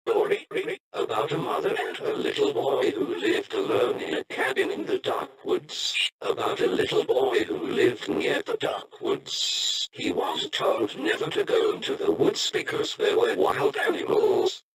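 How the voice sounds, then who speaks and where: A man's voice: mid-range voice, wildly swooping pitch, a little slow, creaky, long pauses, heavily acted, low-quality recording. A man voicing a broken storytelling robot in a video game, through a glitchy robotic filter. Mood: eerie storytelling. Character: broken candy robot reciting dark bedtime tales.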